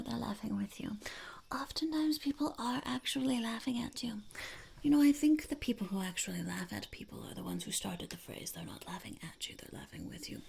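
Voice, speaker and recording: A woman voicing a mischievous, blunt female goblin peddler in soft, whispery ASMR roleplay, with a halting, deadpan delivery. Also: mid-range voice, exaggerated voice. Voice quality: breathy, creaky, whispery. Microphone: close ASMR microphone. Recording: close-up with clicks and faint noise.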